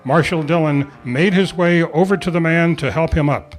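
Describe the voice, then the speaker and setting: A man's voice: a little high, normal speed. A man acting as announcer and narrator for an amateur old-time radio play. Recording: live club performance with music behind.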